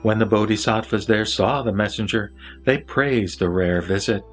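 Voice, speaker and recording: A man reciting a Buddhist scripture as a formal, measured narrator over background music. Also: fairly low pitch.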